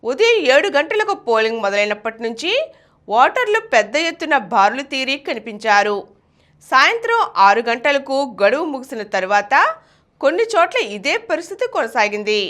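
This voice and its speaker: Female news reader, high voice, very animated intonation, formal scripted delivery. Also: normal speed. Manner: formal, announcing headlines and reports.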